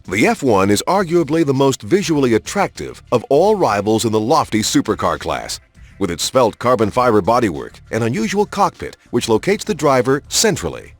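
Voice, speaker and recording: A man reading scripted promotional documentary narration in a polished, measured announcer style, as for a car showcase in a video game. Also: medium pitch, very animated, no echo. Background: prominent background music.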